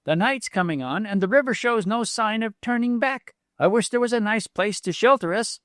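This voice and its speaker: A man's voice: high-pitched, lively intonation, moderate pace, a bit bright, no echo, studio quality, pitched above the performer's own voice. A man voicing an earnest, curious young country lad in a storybook reading. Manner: earnest and wondering. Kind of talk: storybook read-aloud.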